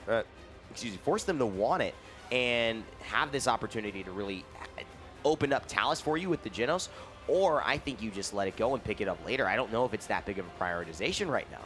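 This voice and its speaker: A man's voice: mid-range voice, animated, fairly quick, fairly bright tone, soft background music. A man casting a live esports tournament, mixing playful banter with game analysis. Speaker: esports caster. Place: esports expo hall arena.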